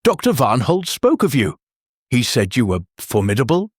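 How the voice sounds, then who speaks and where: A man's voice: mid-range voice, sing-song, normal speed, slightly rough, no room sound, clean studio sound. A man voicing a cool, efficient female secretary in a mystery audiobook, with a controlled manner.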